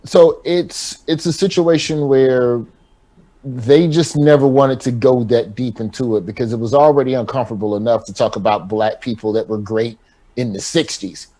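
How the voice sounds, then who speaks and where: A comedian from talks at an ordinary middle pitch. He tells stories in a wry, loose way. His punchlines climb and get animated. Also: normal speed, rough recording. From Alabama, US. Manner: wry, animated joke-telling with rising emphasis. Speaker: comedian guest. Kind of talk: casual chat with comic storytelling.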